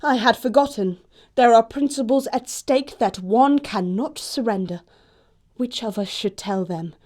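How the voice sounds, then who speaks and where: A woman's voice: fairly high pitch, a little slow, a little mellow. An actress playing a self-assured young society lady in a stage comedy, poised and formal. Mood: lofty, decided. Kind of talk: theatrical stage comedy acting.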